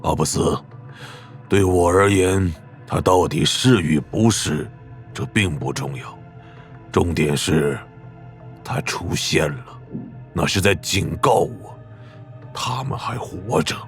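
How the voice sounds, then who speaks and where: An actor playing a stern, menacing old clan patriarch in an animated drama, grave and commanding with a gravelly voice. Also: male voice, low voice, slow, long pauses, heavily acted. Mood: grim. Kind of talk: animated audio drama acting. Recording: background music under the voice.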